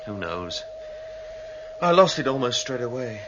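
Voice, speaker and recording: Lively starship crewman, very noisy. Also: mid-range voice, measured pace, lo-fi sound. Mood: puzzled. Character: earnest, puzzled male starship crewman. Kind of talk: radio drama acting. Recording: very noisy old radio drama with hum.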